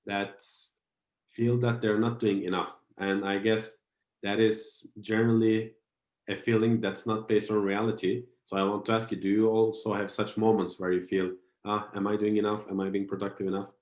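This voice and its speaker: A man's voice: fairly low pitch, level tone, moderate pace, darkish tone. Man host in a roomy echo. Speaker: podcast host. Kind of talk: interview questions.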